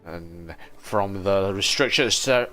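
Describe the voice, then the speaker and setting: A man's voice: sits low, slow pace. A man livestreaming a game with casual commentary, musing and hesitating as he reacts. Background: game music.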